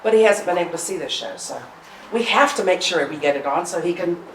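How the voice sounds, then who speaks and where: A woman's voice: fairly low pitch, fast talker. A woman giving an informal, chatty pre-show welcome to a live audience, with joking asides as presenter. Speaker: presenter introducing a staged reading. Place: indoor arts venue, before a live audience. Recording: roomy, distant live-event recording with audience noise.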